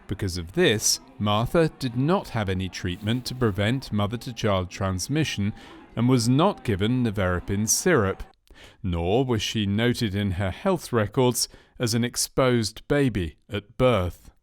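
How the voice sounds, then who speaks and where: A man reading scripted documentary narration for a medical training film in a measured, factual manner. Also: on the low side, animated. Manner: measured, factual delivery of clinical case history.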